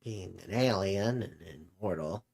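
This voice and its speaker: A man's voice: low voice, unhurried, creaky, darkish tone, husky, exaggerated voice. A man voicing an immortal alien companion who is casual, smug and reflective, in an improvised character chat. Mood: musing.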